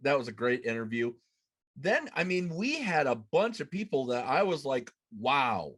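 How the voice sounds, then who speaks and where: A man's voice: mid-range voice, big pitch swings, normal speed, a bit bright. A man in his thirties hosting a podcast, chatting casually on a live show from a room at home. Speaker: podcast host. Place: small room at home, indoors.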